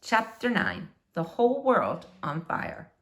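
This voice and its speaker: A woman reading a children's novel aloud as expressive storybook narration. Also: mid-range voice, slow pace.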